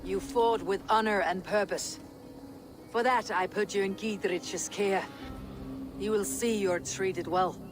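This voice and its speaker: A man's voice: high-pitched, steady tone, a little slow, music in the background. A man playing a resolute, honourable Viking warrior leader in a video game, commanding and solemn. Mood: solemn, measured. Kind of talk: dramatic video game acting.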